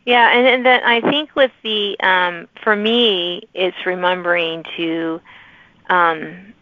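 A woman's voice: fairly low pitch, steady tone, takes it slowly, no room sound. Woman hosting, slightly dull recorded sound. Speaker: podcast host. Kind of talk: casual interview chat.

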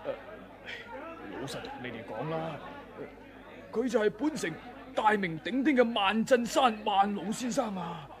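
A man's voice: high-pitched, sing-song, a little slow, some chatter behind. An actor with a Hong Kong Cantonese accent playing a timid old male scholar who wheedles and cries out in fear, in a 1980s radio drama. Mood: frightened.

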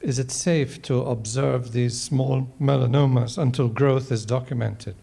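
A man in his sixties speaks on a panel in a large auditorium. His voice is mellow and of middling pitch. He builds his points deliberately, with halting phrases. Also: steady tone, creaky, breathy edge. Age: 60s. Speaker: panel member, ocular oncologist. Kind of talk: measured panel discussion answer. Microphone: handheld microphone.

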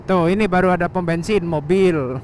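A man's voice: sits high, moderate pace, a little mellow. A man chatting casually and informally as a motovlogger while he rides. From Surabaya, Indonesia. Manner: informal, slangy, refers to himself by name. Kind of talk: casual chat while riding a motorbike. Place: outdoors on a moving motorbike on a village road. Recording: engine, wind and road noise. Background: motorbike engine and passing traffic.

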